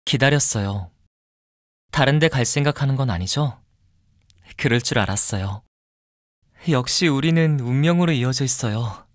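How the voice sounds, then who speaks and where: A man voicing a polite, fastidious young man in a mobile game, softly and intimately devoted with a possessive streak. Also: mid-range voice, expressive, dry recording, studio quality, close to an everyday voice. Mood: tender, confident. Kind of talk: mobile game character voice lines.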